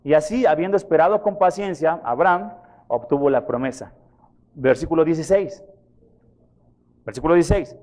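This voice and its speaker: A pastor preaching a sermon, questioning his congregation and retelling scripture in a lively way. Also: a little high, normal speed, pauses often. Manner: questions the congregation, repeats references for them. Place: church.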